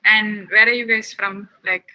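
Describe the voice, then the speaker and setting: A woman's voice: medium pitch, fairly even intonation, bright, forceful tone, poor audio. A young woman from Chennai, chatting with children while giving a science camp lab tour over a video call. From Chennai, India. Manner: chatty, asks the children many quick questions.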